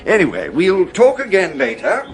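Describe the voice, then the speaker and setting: A man's voice: high voice, huge pitch swings, moderate pace, over music. An actor playing a courteous British family doctor in a radio drama, polite and clinical in his questioning. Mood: puzzled, thoughtful. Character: courteous, matter-of-fact male family doctor, quietly puzzled.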